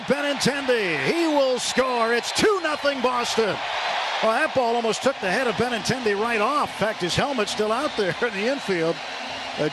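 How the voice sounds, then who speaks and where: A man doing live radio play-by-play of a baseball game, measured and descriptive, filling gaps between pitches with statistics. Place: stadium broadcast booth. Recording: noisy ballpark radio broadcast. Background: loud ballpark ambience.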